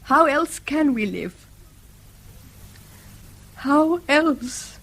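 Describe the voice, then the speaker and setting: An earnest schoolmaster's wife in a mid-century radio play. Her received English voice is high and very animated. She speaks with strained, proper, pleading emphasis. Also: extremely slow, exaggerated voice. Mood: anguished. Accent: English, received pronunciation. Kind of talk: mid-century British radio drama acting.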